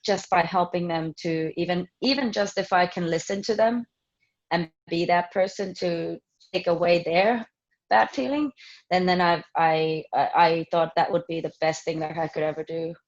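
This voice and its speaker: A woman in her thirties from Finland, answering interview questions casually, with many pauses, ums and restarts. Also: on the low side, steady tone, highs cut off. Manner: hesitant, many ums and restarts, laughs often. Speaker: fitness trainer interviewee.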